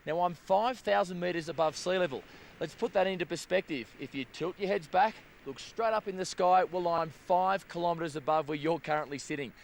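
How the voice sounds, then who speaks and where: An enthusiastic male presenter with an Australian accent and a slightly high voice. He hosts a travel documentary in an upbeat style, recorded on location with clear outdoor noise behind him. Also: a little fast. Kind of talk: upbeat presenting, partly to camera, partly scripted narration. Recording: noisy outdoor location sound.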